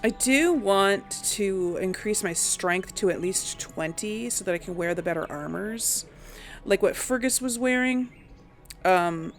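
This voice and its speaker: Female let's play narrator, casual, slow-paced, thinking aloud, creaky edge to the voice. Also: mid-range voice. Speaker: game streamer narrating a role play.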